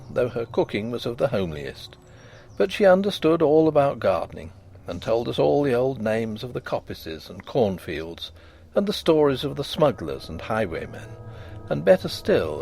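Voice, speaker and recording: A man narrating a gothic ghost story in a measured, expressive reading voice. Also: medium pitch, gravelly, a little hiss or hum.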